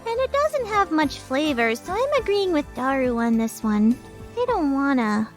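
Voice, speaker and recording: A woman's voice: sits high, sing-song, moderate pace, soft background music. A woman voicing a sweet, naive, childlike teenage anime girl in a visual novel fan dub, with a cutesy, innocent way of talking. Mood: innocent, reluctant.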